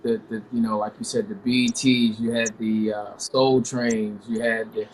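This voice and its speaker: A man's voice: on the low side, normal speed, a little hiss or hum, poor audio. Laid-back man singer, rambling talk. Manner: relaxed, rambling with many fillers. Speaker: R&B singer, guest. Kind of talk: casual interview talk.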